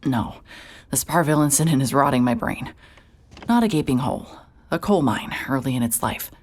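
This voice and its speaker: A young woman narrating a horror audio drama in first person, tense and breathless, dropping to whispers and breaking into sudden shouts. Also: extremely low pitch, expressive, normal speed. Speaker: narrator and lead character.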